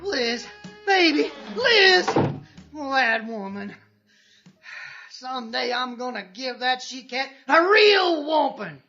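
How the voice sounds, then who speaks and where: A woman's voice: high voice, sing-song, slow, full character voice. A woman playing a whiny, hot-tempered backwoods husband in an audio drama. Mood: jealous, whining. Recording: muffled lo-fi, very loud music.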